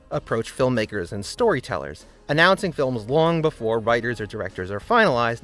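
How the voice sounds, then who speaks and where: A man's voice: mid-range voice, a bit bright. A man narrating his own scripted video essay, earnest and argumentative, over faint background music.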